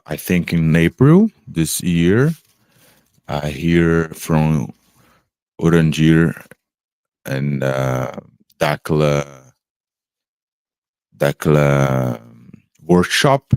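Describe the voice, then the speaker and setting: A man, an English speaker, talking slowly and haltingly about spiritual experiences on a podcast, pausing often to search for words. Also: low-pitched, darkish tone, smooth, no room sound. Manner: halting, searching for words, many pauses and fillers. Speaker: podcast co-host. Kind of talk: slow reflective spiritual chat.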